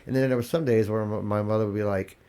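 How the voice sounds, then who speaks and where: A congested man with a gravelly voice, talking candidly as a podcast guest, restarting his sentences as he tells his story. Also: fairly low pitch, steady tone, fast, warm tone. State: congested, coughing. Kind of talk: casual interview talk.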